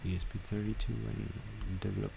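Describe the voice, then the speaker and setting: A man talking through a software tutorial step by step, with halting pauses between instructions. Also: lowish voice, little pitch movement, creaky, noisy background. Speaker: tutorial presenter. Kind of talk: screen-recorded software tutorial.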